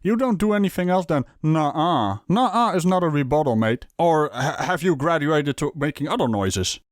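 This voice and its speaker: Sarcastic man with a very animated voice. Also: a little high, a little fast, no echo, studio quality. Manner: sarcastic, teasing, theatrical. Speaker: reaction video host. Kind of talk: mocking running commentary on a played clip.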